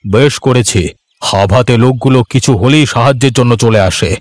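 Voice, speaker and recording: A man's voice: mid-range voice, a little fast, dry recording, clean studio sound, close to an everyday voice. A man playing a haughty, middle-aged village headman in a children's cartoon, speaking in a bossy way. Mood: dismissive. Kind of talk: Bengali children's cartoon voice acting.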